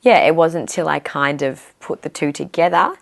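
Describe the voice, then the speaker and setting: A young woman in her twenties, a guest musician with a low voice, chatting casually and filling pauses with "um". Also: gravelly. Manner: chatty, fills pauses with "um", laughs easily. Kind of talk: casual interview chat.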